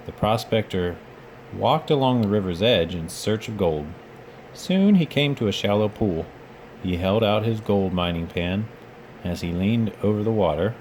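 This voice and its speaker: A man with a fairly low voice reads a children's story aloud. His narration is expressive and paced for young listeners. A faint noise sits behind him, as in a home recording. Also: low-quality recording. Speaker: storybook reader, children's read-aloud host. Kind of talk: expressive bedtime storybook reading.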